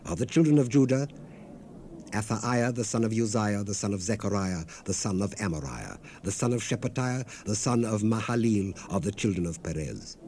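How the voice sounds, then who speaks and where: A man narrating scripture in a solemn, measured, deliberate scripted reading, heard on an unprocessed 1970s cassette rip. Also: lowish voice, lively intonation, a little mellow, a little hiss or hum.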